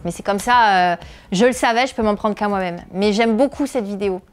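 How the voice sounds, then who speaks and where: A woman's voice: mid-range voice, a little fast, clean tone. A woman in her thirties from northeastern France, a comedian answering interview questions, hesitant with many filler sounds. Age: 30s. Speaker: comedian and video creator.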